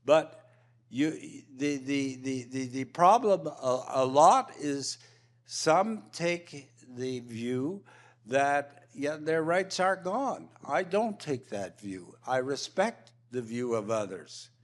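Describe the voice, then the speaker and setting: A man's voice: mid-range voice, animated, slow. A man making impromptu panel remarks, repeating and restarting his phrases often as he argues his points emphatically. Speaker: roundtable panelist.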